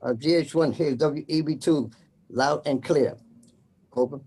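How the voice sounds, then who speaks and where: A man practicing formal emergency radio procedure, announcing scripted messages in a deliberate way as a trainee net controller. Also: a little high, dark tone, breathy edge. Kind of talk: scripted radio procedure drill with call signs.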